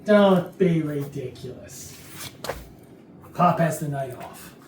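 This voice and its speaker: A woman playing a confident, deliberate police detective in a staged murder mystery comedy. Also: low voice, lively intonation, darkish tone. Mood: businesslike. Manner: deliberate, authoritative. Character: brisk, confident police detective laying out the case. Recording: echoey room, distant mic, faint noise.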